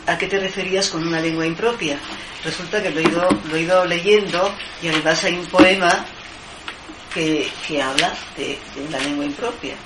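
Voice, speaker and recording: A woman's voice: lowish voice, normal speed. A woman interviewing a poet, hesitant and full of restarts as she works toward her questions. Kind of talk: literary interview. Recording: echoey room, noisy background.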